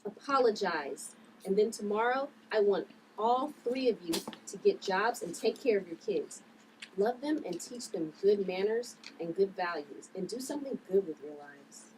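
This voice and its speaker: A woman playing a calm, commanding young heroine with a firm, admonishing manner at a script read. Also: medium pitch, moderate pace, close to an everyday voice. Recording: distant mic with clear background noise.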